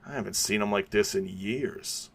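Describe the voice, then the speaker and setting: A man voicing a gruff, exasperated middle-aged male police commissioner. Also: lowish voice, moderate pace. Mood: weary. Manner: exasperated, yelling.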